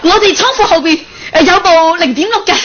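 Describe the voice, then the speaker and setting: A woman acting in a Cantonese radio comedy as a nosy, blunt, pushy shop sales representative with a brisk, insistent manner. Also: very high-pitched, fairly bright tone. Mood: brisk, generous. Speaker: radio drama actor. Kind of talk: improvised radio comedy sketch. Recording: dull, noisy radio sound.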